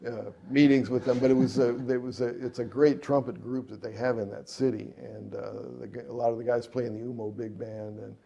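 Gravelly, low-key male jazz musician. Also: fairly even intonation, a little fast, few pauses, mellow, a little hiss or hum. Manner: rambling, many fillers and restarts. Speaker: jazz trumpet player and composer. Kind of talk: relaxed interview answers.

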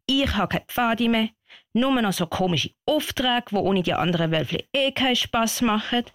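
A woman's voice: fairly high pitch, lively intonation, moderate pace, fairly bright tone, dry recording. Woman playing a sulky little Swiss German schoolgirl, dry sound. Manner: whiny, exasperated, childlike. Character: bored, grumbling little schoolgirl, frank and sulky. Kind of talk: radio play dialogue.

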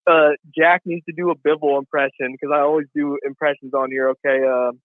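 A man calls in to a boxing show over a thin, tinny phone line. His voice is fairly high and dry. He rambles and boasts with excitement. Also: fairly quick, no echo. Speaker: caller. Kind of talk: call-in chat.

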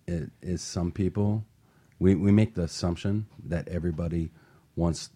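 Low, dark-voiced male host. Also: fairly even intonation, moderate pace. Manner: earnest, passionate, repeats words when starting. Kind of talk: motivational talk show.

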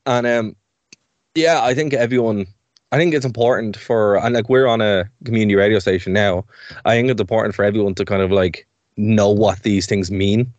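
A man's voice: fairly low pitch, steady tone, a little fast, darkish tone, no echo, highs cut off. A young Irish man co-hosting a community radio show, chatty and rambling, laughing easily between points. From Ireland. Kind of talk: casual radio chat.

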